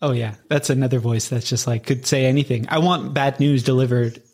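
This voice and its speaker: A man's voice: mid-range voice, steady tone, brisk, a little mellow, slightly rough. A man with an American accent, interviewing casually in an easygoing, informal way with loose, hesitant phrasing. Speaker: podcast coach, interviewer.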